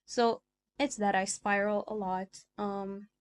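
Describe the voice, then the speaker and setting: A woman's voice: medium pitch, level tone, slow. A young woman from Sudan, a native Arabic speaker with a mixed American and British accent, hosting a casual solo podcast and rambling from thought to thought. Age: young adult. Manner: rambling, chatty, jumps between thoughts. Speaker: podcast host. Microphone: small desk mic.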